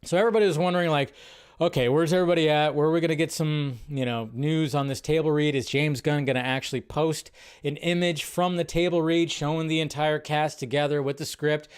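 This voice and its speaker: A man with a somewhat high voice hosts a solo live stream. He talks loosely and thinks out loud, often restarting his sentences. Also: steady tone, brisk, smooth. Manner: rambling, thinking aloud with restarts. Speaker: host.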